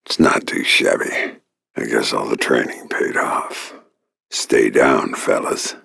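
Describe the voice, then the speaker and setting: A gruff, menacing covert operative from a video game, middle-aged and wry. His voice sits extremely low and rumbles with gravel, often sinking into a breathy growl. He delivers terse, deadpan, sardonic lines in a dry, close recording. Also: slow pace, no room sound, exaggerated voice. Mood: quiet, sardonic.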